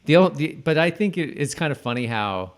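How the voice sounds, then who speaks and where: A man co-hosting a podcast, chatting casually in a halting, repetitive way as if thinking aloud. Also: on the high side, animated, smooth. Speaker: podcast co-host. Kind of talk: casual chat about a TV episode.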